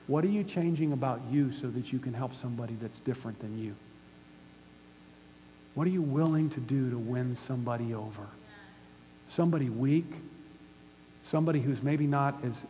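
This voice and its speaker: A man's voice: medium pitch, warm tone. A man in his fifties from the United States preaching a sermon, emphatic and putting questions to the audience. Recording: hall reverb with faint hum.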